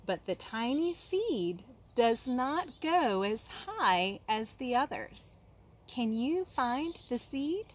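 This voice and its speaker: A woman reading a children's picture book aloud like a kindergarten teacher, expressively, with dramatic pauses and questions for young listeners. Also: on the high side, big pitch swings.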